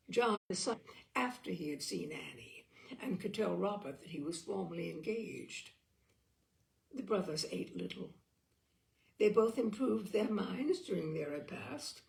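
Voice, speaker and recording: A woman reading a literary short story aloud as an expressive, theatrical storyteller. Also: medium pitch, gravelly. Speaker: storyteller, audiobook reader.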